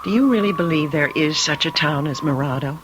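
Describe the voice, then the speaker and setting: A woman's voice: low voice, fairly quick, background noise, natural voice. An actress playing a dazed, fatalistic woman traveler with a dreamy, brooding delivery, in an old-time radio drama.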